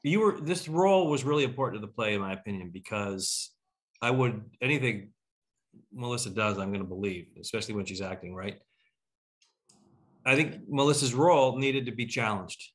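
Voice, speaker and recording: Low-voiced middle-aged man on a video call. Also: animated, a little fast. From Toronto, Canada. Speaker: host. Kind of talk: casual panel discussion. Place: home room, on a video call.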